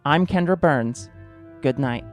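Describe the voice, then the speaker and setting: A man playing a wry, mock-solemn news anchor, narrating a radio drama in a deadpan way. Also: a little high, slow, faint music behind.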